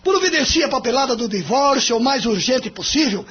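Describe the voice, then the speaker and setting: A man's voice: extremely high pitch, animated, a little fast, fairly bright tone, husky, highs cut off, heavily acted. A man playing a curt, impatient wealthy businessman in an audio drama, speaking in a brusque, formal, dismissive way.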